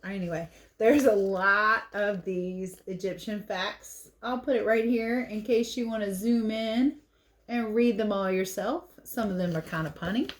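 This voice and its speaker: A woman reading a picture book aloud to children like a teacher, expressive and chatty, with casual explanatory asides. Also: mid-range voice, a little slow.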